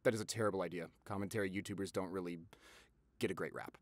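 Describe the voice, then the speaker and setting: A man's voice: low voice, steady tone, fast talker, no echo, clean studio sound. A young man playing a gossipy, playful, affectionate boyfriend in an audio roleplay, theatrical and teasing in his delivery. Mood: wry, playful. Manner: theatrical, exaggerated, teasing, chatty.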